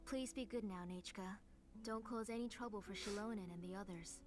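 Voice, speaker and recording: A woman playing a fussing, overprotective middle-aged mother in an anime game, caring and gently scolding. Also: medium pitch, brisk, close to an everyday voice. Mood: cautious. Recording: music clearly behind the voice.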